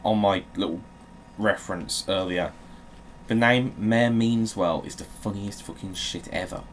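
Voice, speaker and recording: A man's voice: fairly low pitch, a little slow. A man giving casual video game commentary, swearing and grumbling jokily as he plays. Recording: clearly noisy background.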